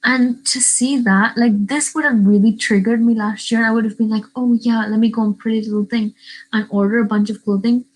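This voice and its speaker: A woman's voice: a little high, fairly even intonation, fairly quick, a little mellow, poor audio. A teenage girl from Northern Ireland chatting casually on a livestream, rambling and answering questions from the live chat. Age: teens. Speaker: YouTuber. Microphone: webcam.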